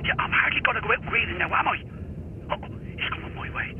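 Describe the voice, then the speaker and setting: Through a muffled radio link thick with noise, an edgy Irish lookout in a radio play. His voice is high for a man, hushed and terse, as he grumbles and reports what he sees. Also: lively intonation, fast talker. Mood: tense, urgent. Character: jumpy, grumbling male stakeout lookout. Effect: two-way radio filter. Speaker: detective's sidekick. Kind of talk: radio drama acting.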